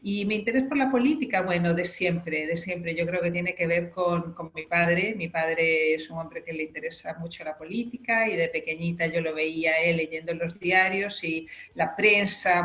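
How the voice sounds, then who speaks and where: Lowish middle-aged woman. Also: a bit bright, echoey room. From Spain. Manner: fluent, explanatory, reflective. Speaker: political scientist guest. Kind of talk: radio interview.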